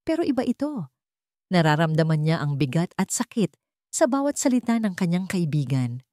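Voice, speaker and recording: Woman storyteller doing dramatic narration, low n mellow, dry audio. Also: very animated, normal speed, dry recording.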